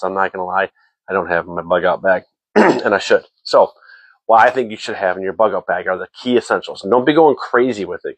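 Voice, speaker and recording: A man's voice: fairly low pitch, dry recording, low-quality recording. A man hosting a casual gear talk, sounding stuffy and a bit nasal, rambling with repeated words and frequent ums. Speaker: host.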